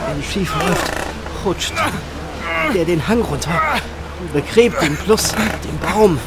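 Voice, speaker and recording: A man playing a blunt lumberjack at a rescue on a slope in a radio drama, talking in a hurry. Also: high-pitched. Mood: tense. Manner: hurried, blunt. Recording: loud noisy background.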